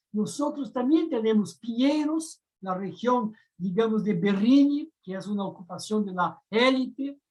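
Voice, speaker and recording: A woman from Brazil, a native Portuguese speaker with a Brazilian accent, giving thoughtful academic commentary as a discussant on a round-table panel, often pausing to search for words. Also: a little high, poor audio.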